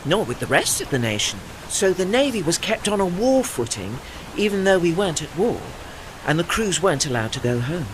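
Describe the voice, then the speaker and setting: A man acts out an old British warship, dignified and learned, in an audio play. The speech is formal and precise, with hugely varied intonation and a wistful pride. A clear wash of ambient noise sits behind the voice. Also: on the high side, very animated, a little fast. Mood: measured, recounting. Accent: British English. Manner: formal, articulate, pedantic, apologetic. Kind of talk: audio drama dialogue.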